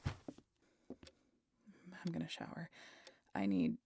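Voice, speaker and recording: A woman playing a weary, guarded, sardonic vampire in an intimate audio roleplay, with a breathy, husky delivery that often drops toward a whisper. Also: sits low, big pitch swings, mellow, a little hiss or hum, full character voice. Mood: shaken, hushed. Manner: dry, sardonic, halting. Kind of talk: intimate scripted audio roleplay addressed to the listener.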